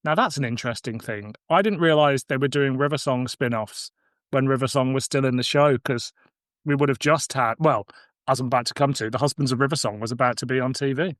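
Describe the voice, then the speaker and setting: A man's voice: medium pitch, brisk, a bit bright, no echo, studio quality. A man hosting a podcast, moving between casual chat and read-out summaries. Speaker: podcast host.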